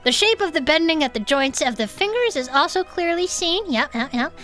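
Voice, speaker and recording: A woman co-hosting a comedy podcast, playful and expressive as she reads aloud and chats. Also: high-pitched, a little fast, soft background music. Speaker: podcast co-host. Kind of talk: comic reading aloud of a report with chatty asides.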